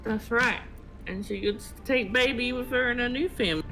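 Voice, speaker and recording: A woman's voice: fairly high pitch, moderate pace, a bit bright. A woman chatting casually and jokingly as a co-op gaming partner on a stream. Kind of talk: casual joking chat about a game. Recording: music clearly behind the voice. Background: music.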